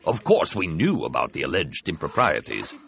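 A man voicing a big, scheming alien senator in an audiobook, with measured, persuasive delivery. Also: rumbling low, huge pitch swings, vocal fry, fairly bright tone, dull audio, exaggerated voice. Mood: smug scheming. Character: scheming, soothing, heavyset male alien senator and host.

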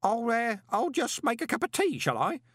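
Guy as nervy old man postman, high voice, placating and hesitant, audiobook character. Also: wildly swooping pitch, moderate pace, fairly bright tone, dry recording, clean studio sound, heavily acted. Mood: flustered. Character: anxious, deferential old postman.

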